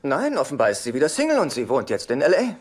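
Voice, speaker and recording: A man's voice: medium pitch, wildly swooping pitch, quick pace, husky. An actor playing a fussy, hapless, moralizing divorced father in a dubbed sitcom, speaking earnestly. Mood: chatty.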